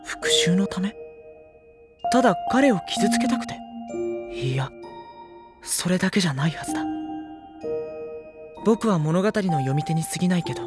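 An actor playing an earnest, brooding teenage schoolboy in a Japanese audio drama, questioning and narrating with dramatic pauses. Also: male voice, fairly high pitch, close to an everyday voice.

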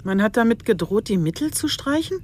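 An actress playing a determined, blunt female police chief inspector in radio drama dialogue, brisk, insistent and sardonic. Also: on the high side, sing-song, a little mellow, a little hiss or hum. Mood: insistent, reproachful.

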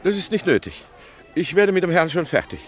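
A pompous, oily aristocrat, with a very animated, swooping delivery. He is affected and mannered in his courtesy, in 1950s radio drama style. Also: fairly high pitch, brisk, faint music behind. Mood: indignant, flustered. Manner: pompous, affected, flustered politeness. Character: oily, pompous baron, a thieving ladies' man. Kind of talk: 1950s German radio play acting.

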